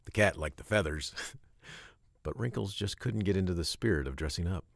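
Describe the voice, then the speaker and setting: A man narrating a children's story from a script, with expressive, emphatic phrasing and short pauses. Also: low-pitched, creaky, no echo, studio quality.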